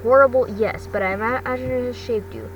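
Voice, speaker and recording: A woman's voice: a little high, fast, close to an everyday voice. A woman voicing a protective, blunt but caring male teacher in a fan fiction reading, earnest and consoling. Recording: distant mic, clearly noisy background.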